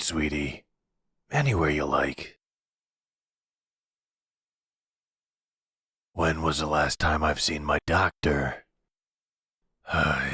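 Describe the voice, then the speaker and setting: A man's voice: sharp tone, no echo, clean studio sound, heavily acted. A man playing a possessive, delusional middle-aged mafia boss and husband in an ASMR roleplay, speaking with condescending, menacing tenderness. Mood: defensive. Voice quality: very deep, husky.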